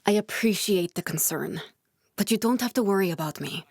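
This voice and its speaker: An actress playing an earnest, guarded woman scientist in a video game, speaking in a measured, serious, explanatory way with naturalistic delivery. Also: mid-range voice, a little mellow, husky, no room sound, clean studio sound. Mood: calm, instructive. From born in Norway, raised in Glasgow (character).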